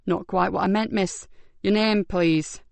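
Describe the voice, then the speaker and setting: A woman doing a brisk, firm male detective. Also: medium pitch, fairly even intonation, gravelly, darkish tone, no echo. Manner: clipped, authoritative.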